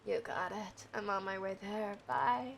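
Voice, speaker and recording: A woman's voice: medium pitch, animated, a little slow, vocal fry. A woman reading a young woman caught between two men. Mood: sweet. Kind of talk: amateur script read-through.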